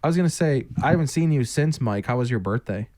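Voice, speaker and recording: A man's voice: medium pitch, lively intonation, quick pace, darkish tone. A man co-hosting a podcast, chatting casually and asking short joking questions. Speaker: podcast co-host. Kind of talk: casual chat.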